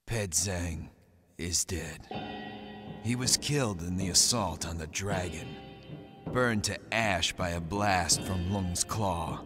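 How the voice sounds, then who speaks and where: An actor playing a gritty, determined young pirate raider in a video game, both narrating and in dialogue. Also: male voice, low-pitched, takes it slowly, over music. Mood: grave, mourning. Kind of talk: video game cutscene acting and first-person narration.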